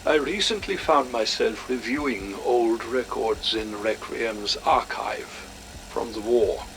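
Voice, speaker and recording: An actor playing a weary, haunted research scientist, speaking in a grave, deliberate, brooding manner for a video game audio log. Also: male voice, mid-range voice, fairly bright tone, slight background noise, low-quality recording. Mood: grave, foreboding.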